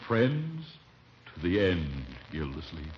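An actor playing a pompous, sarcastic, excitable gentleman in old-time radio comedy style. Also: low-pitched, slow, exaggerated voice. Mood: sentimental. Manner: pompous, sardonic, melodramatic. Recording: dull old radio recording, some noise.

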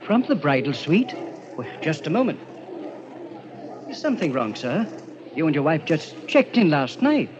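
An actor playing an officious hotel desk clerk in an old-time radio drama, polite but nosy. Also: male voice, a little high, sing-song, normal speed, pauses often. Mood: officious, curious. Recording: dull vintage radio recording, busy background chatter.

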